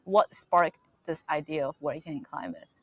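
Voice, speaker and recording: A woman's voice: low-pitched, normal speed, breathy edge, no room sound. A woman hosting a podcast interview, eagerly restating ideas to check she understands them. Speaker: podcast host. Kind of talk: casual interview.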